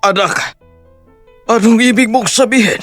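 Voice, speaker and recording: Casting: actor for powerful elderly don, somewhat high male register, intense melodramatic patriarch. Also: normal speed, a bit bright, dry recording, soft background music. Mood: alarmed, puzzled. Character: stern, powerful, protective old billionaire father. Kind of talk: Filipino audio drama acting.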